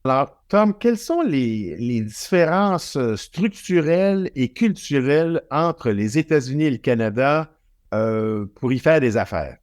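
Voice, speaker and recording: A man of medium pitch hosting a webinar in a courteous, formal manner. The sound is dry and close to the mic, with no room echo. He speaks in clear, steady phrases. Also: measured pace. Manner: polite, formal. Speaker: webinar host. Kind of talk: formal introduction and questions.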